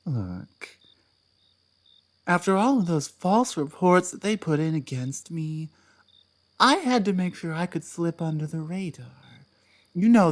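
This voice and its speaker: A woman voicing an obsessive, possessive ex-partner in an audio roleplay, speaking softly and dropping into whispers before flaring up. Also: sits low, heavily acted. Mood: creepy, coaxing. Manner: soft-spoken, drops into whispers, flares up. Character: obsessive possessive ex-partner, just released, menacing.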